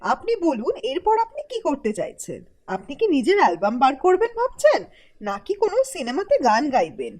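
A woman's voice: high-pitched, huge pitch swings, a little fast, darkish tone. A woman voicing an eager, probing media reporter in a cartoon. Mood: eager, inquisitive. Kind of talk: Bengali cartoon voice acting.

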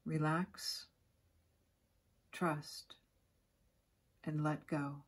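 Woman, meditation guide, husky breathy voice, very slow, soothing, gentle instructions, long pauses. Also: sits low, little pitch movement. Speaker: yoga nidra guide.

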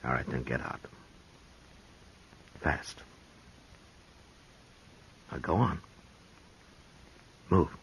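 An actor playing a steady, commanding frontier marshal in a 1950s radio drama. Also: male voice, extremely low pitch, very slow, pauses often, a little hiss or hum, heavily acted, poor audio. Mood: firm. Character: calm, firm frontier marshal, grown man.

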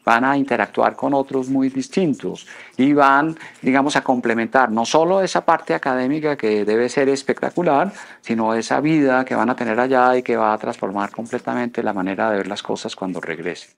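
A man's voice: medium pitch, moderate pace, vocal fry, hardly any pauses. A man in his fifties with a Colombian accent, giving an address to students in an informal but prepared way. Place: small office indoors.

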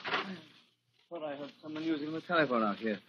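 A man's voice: a little high, animated, a little fast, lightly acted. An actor playing a smug, mock-polite wealthy man with veiled menace in a 1940s radio thriller. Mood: suavely probing. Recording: muffled, noisy 1940s radio recording.

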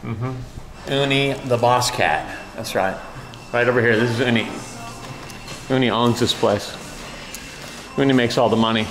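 A man's voice: mid-range voice, unhurried, pauses often, over music, poor audio. A middle-aged man chatting casually and playfully as a vlog host, talking to a camera held at arm's length. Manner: playful, joking. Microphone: action camera at arm's length.

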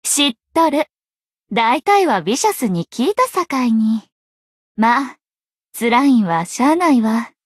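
A young female anime game journalist with a high, very animated voice and a Kansai accent. She is a breezy tease who fibs playfully, coquettish and lilting, in a dry, close recording. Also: unhurried, breathy edge, dry recording, exaggerated voice. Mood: frank, consoling. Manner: soft, coquettish, lilting dialect with playful teasing. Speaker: game voice actor. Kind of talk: Japanese anime game voice acting.

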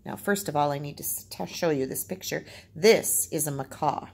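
A woman's voice: low-pitched, normal speed, creaky, darkish tone. A woman teacher reading aloud and explaining in simple phrases, as in a storytime lesson.

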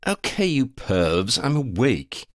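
A man's voice: medium pitch, big pitch swings, takes it slowly, fairly bright tone, no echo, studio quality, voice pushed up. A man voicing a brash, tough, playful young woman werewolf hunter in a flippant, cocky way for an audiobook. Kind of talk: audiobook character voice.

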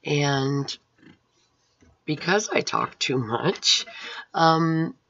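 A middle-aged woman with a raspy, hoarse voice, giving an earnest, rambling personal testimony into a webcam at home. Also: deep voice, extremely slow, a bit bright, no room sound. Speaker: Bible college student. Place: room at home with painted scripture wall. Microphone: webcam at close range. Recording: dull lo-fi webcam audio.

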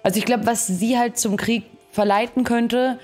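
A woman's voice: fairly high pitch, fairly even intonation, moderate pace, a little mellow. A young woman streaming a game, chatting casually in colloquial language with youthful slang. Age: young adult. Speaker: gaming streamer. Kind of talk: casual gameplay commentary and chat. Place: indoor streaming setup. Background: game music.

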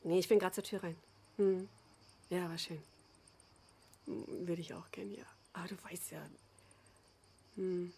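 A woman's voice: on the low side, level tone, unhurried, creaky, long pauses, warm tone, slight background noise. An actress playing a harried mother in a naturalistic radio play. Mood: tender, hushed. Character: harried single mother, irritable and evasive.

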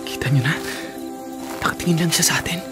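A man's voice: a little high, fast talker, loud music behind the voice, lightly acted. A young man playing a cautious, bossy leader of frightened companions in a radio drama, giving instructions. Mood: urgent. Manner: urgent, instructing, animated. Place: radio studio booth. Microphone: studio condenser mic with pop filter.

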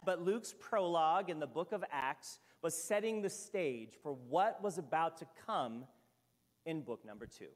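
A man in his fifties, a senior pastor from Hawaii, preaching a sermon at the pulpit with lively storytelling and asides. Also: fairly high pitch. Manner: animated storytelling with sweeping gestures and asides. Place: church sanctuary at a pulpit. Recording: long reverb of a church hall.

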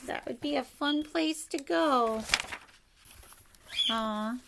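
A middle-aged woman reads a rhyming picture book aloud to young children in an expressive sing-song way, pausing to ask them questions. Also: high voice, gravelly, background noise. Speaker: preschool story-time teacher reading aloud. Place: living room at home, indoors.

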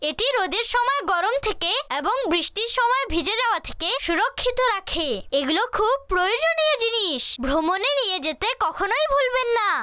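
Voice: extremely high pitch, sharp tone, no room sound, studio quality, exaggerated voice. A performer voicing a cheerful, eager little boy in a children's cartoon, exclaiming and instructing young viewers enthusiastically.

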